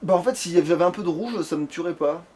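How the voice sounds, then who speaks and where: A man's voice: sits high, quick pace, a little mellow. A man in casual gaming chat, thinking aloud in a rambling way as a let's player.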